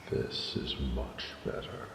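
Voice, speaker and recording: A man's voice: slow pace, background noise, close to an everyday voice. A man voicing a huge, proud male dragon in a romantic audio roleplay, doting and teasing, with formal, courtly phrasing and intimate murmurs. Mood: pleased, proud. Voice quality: very deep, gravelly, breathy murmurs.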